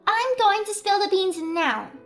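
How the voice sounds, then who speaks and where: A woman's voice: animated, a little slow, exaggerated voice. A woman voicing a bold little girl in a storybook drama, with faint music behind. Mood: cheeky. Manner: high, bright, piping.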